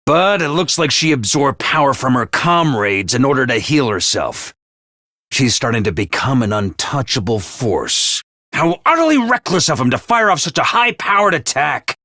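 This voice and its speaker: A man voicing a brisk, ruthless academy commander in a video game, barking orders and announcements. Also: medium pitch, sing-song, pushed and bright, no echo, clean studio sound. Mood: analytical. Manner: clipped orders and announcements, drill-sergeant bark. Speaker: voice actor in a game.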